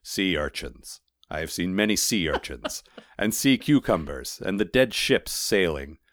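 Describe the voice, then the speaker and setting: A man voicing an ancient male clay golem messenger, weary and fatalistic, speaking in a solemn, formal, rumbling way. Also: big pitch swings, fairly bright tone, dry recording, clean studio sound, heavily acted. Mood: solemn, resigned. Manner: blank, solemn, formal, unhurried.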